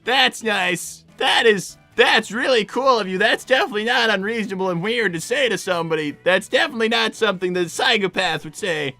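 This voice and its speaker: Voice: medium pitch, fairly quick, few pauses, pushed and bright, no room sound, soft background music, close to an everyday voice. A performer voicing a young boy cartoon fox, nervy and sarcastic, stammering and repeating phrases in an improvised livestream roleplay.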